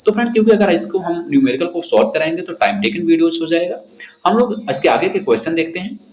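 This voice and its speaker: A man's voice: on the high side, animated, fast talker. A man teaching an exam quiz lesson, speaking directly to students and repeating questions and answers. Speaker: exam-prep instructor. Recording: echoey room, loud insect-like background noise.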